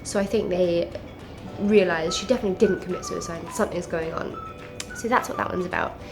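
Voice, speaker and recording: A woman's voice: fairly low pitch, brisk, over music. Congested woman with a South African-English twang. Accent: mix of South African and English. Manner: chatty, enthusiastic, rambling. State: congested with a cold, nasal. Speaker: book vlogger. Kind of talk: casual book chat to camera.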